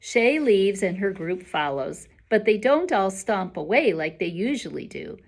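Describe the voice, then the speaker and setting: A woman's voice: mid-range voice, very animated, unhurried, a little mellow. Woman reading a children's story aloud. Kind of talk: expressive storybook narration.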